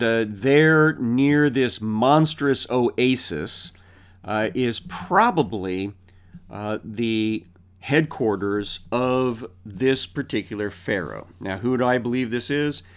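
A man teaching a Bible lesson unscripted, thinking aloud and pausing often with filler sounds. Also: medium pitch, smooth.

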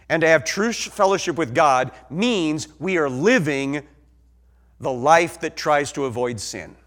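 Animated Ozark preacher. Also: male voice, fairly high pitch. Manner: animated, swings from soft to loud emphasis. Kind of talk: sermon.

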